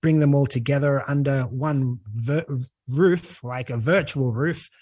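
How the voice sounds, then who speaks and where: A man's voice: medium pitch, unhurried, no room sound. Australian man, dry close sound. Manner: many "uh" fillers, relaxed and earnest. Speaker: guest. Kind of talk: podcast interview.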